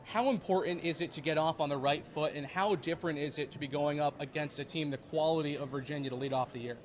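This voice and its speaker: A young man with a fairly high-set voice works as a sports reporter. His delivery is polished and broadcast-ready, suited to interviews and narrated features. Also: fast, slight background noise. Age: young adult.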